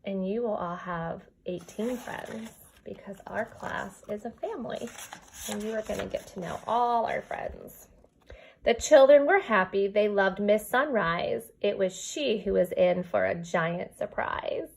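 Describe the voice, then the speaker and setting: A woman with a mid-pitched voice reads a children's story aloud as a kindergarten teacher would. Her delivery is expressive and addressed directly to young children, moving between the rhyming text and chatty asides. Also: a little slow, creaky, a little hiss or hum, rough recording. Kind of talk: animated read-aloud of a children's picture book with chatty asides.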